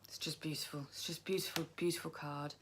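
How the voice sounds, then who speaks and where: A young woman chatting casually to camera about her tarot decks, tired and croaky, rambling and poking fun at herself. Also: deep voice, fairly even intonation, fast, a bit bright, slight background noise. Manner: chatty, self-deprecating, rambling. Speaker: tarot vlogger.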